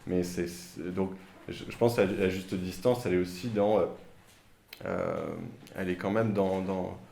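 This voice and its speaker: A man speaking on a round-table panel, hesitant and halting with many fillers and restarts. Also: sits low, animated, creaky, mellow, slight background noise. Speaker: author on a panel. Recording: echoey room, faint ambience.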